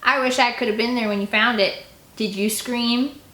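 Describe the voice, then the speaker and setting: A woman's voice: a little high, steady tone, moderate pace, pushed and bright, roomy sound, voice pushed up. A woman voicing a cocky, mocking teenage boy in an audiobook.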